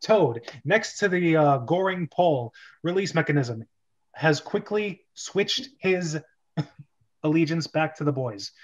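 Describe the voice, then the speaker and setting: A man hosting a casual, joking amateur script reading, halting and often correcting himself. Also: on the high side, lively intonation, highs cut off. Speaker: host and script reader.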